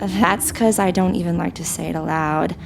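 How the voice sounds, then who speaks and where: A woman's voice: lowish voice, steady tone, normal speed, gravelly, noisy background. An actress playing a cool, guarded female criminal in an audio drama. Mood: uneasy, hushed.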